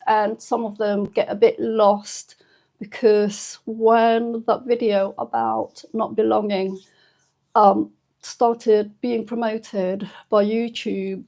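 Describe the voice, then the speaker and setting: A woman in her fifties hosting a casual live stream. She is emotional and a little tearful, and talks softly and hesitantly, with many pauses and fillers. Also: mid-range voice. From United Kingdom. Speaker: channel host.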